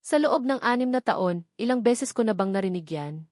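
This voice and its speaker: A woman with a Filipino accent reading a first-person dramatic love story in an emotive way, with short deliberate phrases. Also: a little high, expressive, a little mellow, dry recording, clean studio sound. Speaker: story narrator.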